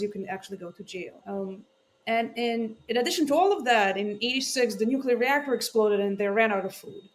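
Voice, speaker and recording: Woman, eastern european accent, somewhat high voice, earnest, remote guest. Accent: Russian-speaking Eastern European. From Ukraine. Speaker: guest, tech CEO. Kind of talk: interview storytelling. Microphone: remote call.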